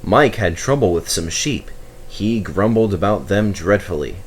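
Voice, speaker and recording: Guy reading a kids storybook out loud, deepish voice, really animated delivery. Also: unhurried, slight background noise. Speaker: storybook reader, narrator. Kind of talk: expressive children's story narration.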